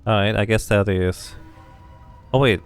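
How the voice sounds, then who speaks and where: A man's voice: lowish voice, unhurried, smooth, faint music behind. A man giving casual game commentary, thinking aloud and reading bits of game text out as he plays. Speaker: game streamer doing a playthrough.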